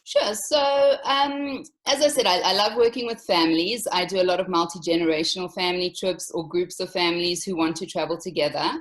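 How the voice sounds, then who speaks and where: A woman in her thirties from South Africa with a South African accent, a travel company owner answering interview questions in a relaxed way, with many ums. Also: on the low side. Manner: soft-spoken, many ums, unhurried. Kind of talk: casual interview.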